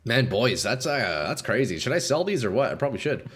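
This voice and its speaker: A young man doing casual live commentary while playing a game, a bit congested and clearing his throat often, swearing and talking to chat. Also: mid-range voice, very animated, brisk, fairly bright tone, a little hiss or hum. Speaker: game streamer.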